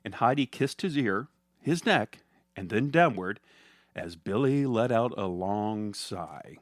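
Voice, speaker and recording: A man's voice: on the low side, expressive, slow pace, no echo, studio quality. A man narrating a scripted comedic story as a storyteller.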